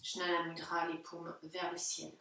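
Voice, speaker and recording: A woman leads a guided yoga relaxation in a slightly low voice. She speaks gently and without hurry, leaving pauses between short instructions. The sound is strongly reverberant, with the microphone some distance away. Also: completely flat delivery. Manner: gentle, unhurried, pausing between short phrases. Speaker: yoga teacher. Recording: very echoey room, distant microphone.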